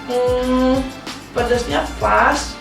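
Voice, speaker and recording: A woman's voice: high voice, steady tone, very slow, vocal fry. A young woman hosting a casual podcast interview, chatty, slipping English phrases into her talk. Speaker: podcast host. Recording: echoey room, mic at a distance, music behind.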